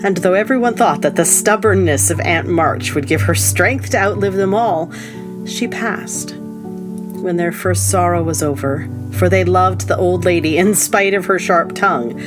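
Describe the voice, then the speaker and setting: A loving mother narrates a period audio play, played by an actress. Her voice is fairly low, gentle and measured. Plucked guitar plays clearly underneath. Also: natural voice. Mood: reflective. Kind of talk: scripted narration. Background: plucked guitar music.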